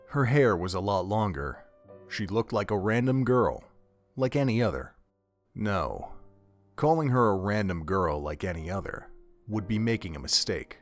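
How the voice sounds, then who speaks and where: A man reading scripted third-person narration as the storyteller of an audio drama. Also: fairly low pitch.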